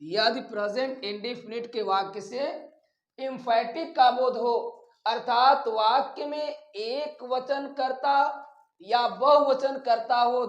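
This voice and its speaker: A man teaching a grammar lesson step by step, deliberate and instructive, as if dictating piece by piece. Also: extremely high pitch, muffled recording. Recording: echoey, roomy sound.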